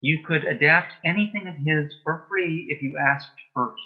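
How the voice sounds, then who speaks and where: Muffled-sounding man giving measured answers. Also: fairly high pitch. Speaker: interview guest, author and playwright. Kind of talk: conversational interview answers. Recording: dull, band-limited sound.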